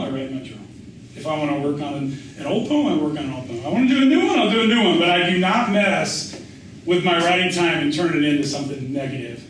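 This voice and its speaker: In a very echoey room, picked up from a distance, a middle-aged American man speaks in a slightly high voice. He talks informally like an author answering questions, telling anecdotes and circling back over his phrases. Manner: conversational, anecdotal, often restarts and repeats phrases for emphasis. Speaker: author and writing teacher speaking at a reading. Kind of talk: informal spoken Q&A after a reading. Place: indoor reverberant event room. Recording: echoey room, distant mic, faint noise.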